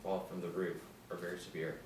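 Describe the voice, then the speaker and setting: A man reading a brisk, low-voiced male doctor. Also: little pitch movement, lightly acted. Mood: grave. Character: urgent commanding hospital doctor. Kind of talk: table read of a screenplay. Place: small indoor room. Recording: roomy distant mic with background hiss.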